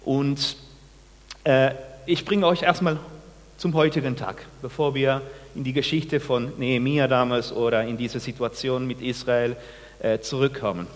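A man in his forties from Peru, a pastor giving a sermon, speaking haltingly with many restarts and questions to the congregation. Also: mid-range voice, fairly even intonation, big-room sound. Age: 40s. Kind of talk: sermon with personal testimony. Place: church.

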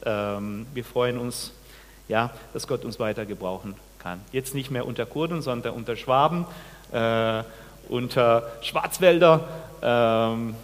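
A man in his forties from Peru, a pastor giving a sermon, speaking haltingly with many restarts and questions to the congregation. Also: medium pitch, in a large hall. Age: 40s. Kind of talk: sermon with personal testimony. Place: church.

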